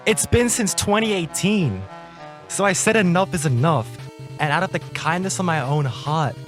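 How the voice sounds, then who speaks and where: A man's voice: on the high side, a bit bright. A young man delivering a scripted commentary rant, slangy and melodramatic, drawing out words for emphasis. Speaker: video commentator. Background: music.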